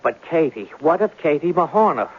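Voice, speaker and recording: A man's voice: fairly high pitch, sing-song, normal speed, slightly rough. An actor playing a lovelorn, skeptical man in a radio drama, questioning everything with indignant literal-mindedness. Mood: exasperated. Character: lovelorn, skeptical, exasperated man seeking his sweetheart. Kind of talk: 1970s radio drama acting. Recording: dull 1970s radio recording.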